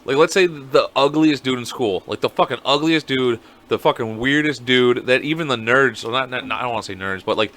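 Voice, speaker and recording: A man in his thirties co-hosting a podcast, chatting casually in a blunt, profane, animated way. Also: medium pitch, a little fast, few pauses. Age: 30s. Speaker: podcast co-host. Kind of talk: casual chat.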